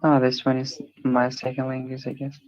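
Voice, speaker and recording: Gravelly man on a dull call. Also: medium pitch, moderate pace, no echo. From Nepal. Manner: relaxed, friendly, with fillers. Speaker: online chat partner. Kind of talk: casual chat. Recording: dull, lo-fi online call audio.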